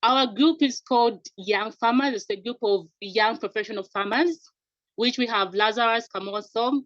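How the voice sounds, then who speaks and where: A woman's voice: fairly high pitch, normal speed, a bit bright, no echo. A young woman from Zambia, a farmer and agricultural consultant, introducing her team on a call. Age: young adult. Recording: dry muffled call audio.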